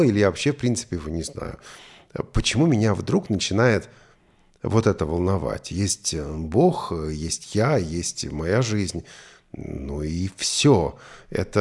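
A man talking conversationally in a discussion, earnestly and deliberately, with long pauses as he reflects and tells stories. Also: low-pitched, creaky, darkish tone, slightly rough.

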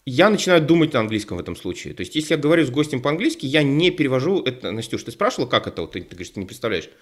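A man's voice: medium pitch, big pitch swings, racing. Man, co-host, casual panel talk, rambling, restarts, call mic. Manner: rambling, many fillers and restarts. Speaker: co-host of an online show.

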